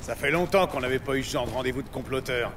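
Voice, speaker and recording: An actor playing a sardonic, reluctant cop in an audio drama, blunt and grumbling. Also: male voice, mid-range voice, quick pace, noisy background, close to an everyday voice. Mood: sarcastic. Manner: sarcastic, blunt. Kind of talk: French audio drama acting.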